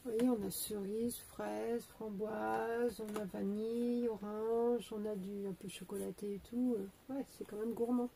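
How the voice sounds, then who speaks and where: A woman's voice: medium pitch, steady tone, measured pace, gravelly, hardly any pauses, dark tone, a little hiss or hum, rough recording. A young woman with a cold and a stuffy voice, chatting casually as she unboxes beauty products, hesitant and full of fillers and restarts. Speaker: beauty vlogger unboxing an advent calendar.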